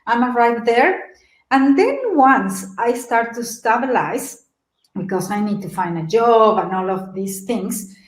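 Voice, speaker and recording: A middle-aged woman with a Mexican Spanish accent tells her story in a motivational webinar, lively and animated, turning to her listeners with questions. Her voice sits fairly high. It comes over a video call from an echoey room. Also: measured pace. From Mexico City. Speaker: webinar presenter.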